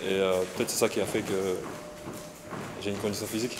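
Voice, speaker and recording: A young man from Paris answers short interview questions in a low voice, shy and halting. Long reverb from the gym carries his voice. Clear hiss runs underneath. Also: flat delivery. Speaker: kickboxer. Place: martial arts gym.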